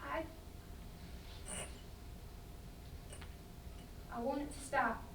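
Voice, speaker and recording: A woman's voice: sits high, a little fast, creaky. Woman acting a devoted, defensive young gal, echoey. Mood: shaken. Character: devoted, defensive, soft-hearted young woman loyal to troubled man. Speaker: student actor in a staged reading. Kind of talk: dramatic staged reading of a stage play. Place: indoor festival staged reading. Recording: echoey room, distant mic, faint noise.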